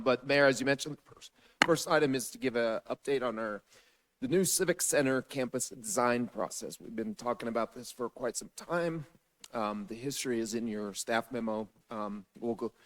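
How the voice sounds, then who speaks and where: A man whose voice is hoarse and raspy walks a city council through a staff briefing. His delivery is steady and businesslike, with mid-range pitch. He speaks from council chambers.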